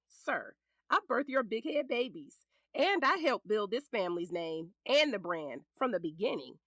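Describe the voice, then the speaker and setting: An actress with an American accent playing a sharp-tongued, protective middle-aged lawyer and scornful ex-wife in an audio drama, blunt and commanding. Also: female voice, fairly high pitch, sing-song, measured pace, no echo, studio quality, full character voice. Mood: proud, sassy.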